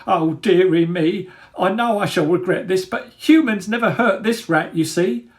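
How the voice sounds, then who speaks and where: A man's voice: sits high, normal speed, pitched above the performer's own voice, close to an everyday voice. A man voicing a timid but brave old male rat, fretful and self-chiding, in a storybook reading. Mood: nervous, pleading. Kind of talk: dramatic storybook character reading.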